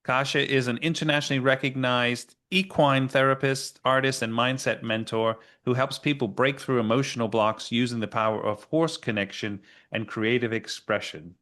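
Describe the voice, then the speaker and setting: A man hosting an interview podcast, talking in a halting, conversational way. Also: medium pitch, steady tone, fairly bright tone. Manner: halting, with fillers and restarts. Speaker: podcast host. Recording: faint guitar music behind.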